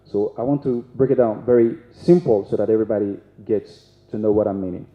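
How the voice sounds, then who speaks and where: A man speaking as a panelist at a conference, explaining step by step with some halting restarts. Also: fairly low pitch. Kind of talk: panel discussion talk. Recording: echoey hall, dull sound.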